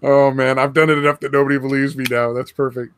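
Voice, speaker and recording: A man's voice: medium pitch, flat delivery, fast, a bit bright, slight background noise. A man co-hosting a casual podcast from home over voice chat, crude and rambling, laughing often. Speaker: podcast co-host.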